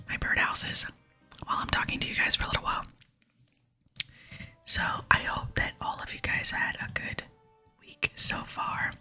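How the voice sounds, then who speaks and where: A young adult woman with an American accent whispers softly and intimately in a casual, rambling ASMR chat as a gaming host, close to the microphone. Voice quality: breathy, unvoiced whisper.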